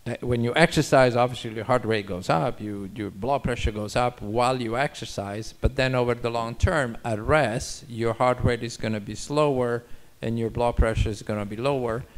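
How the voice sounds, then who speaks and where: Older male medical panelist, Italian accent, mid-range pitch, informal Q&A delivery on stage. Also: moderate pace. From Italy. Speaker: doctor on an expert panel. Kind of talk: informal expert Q&A. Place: auditorium stage. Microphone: handheld microphone.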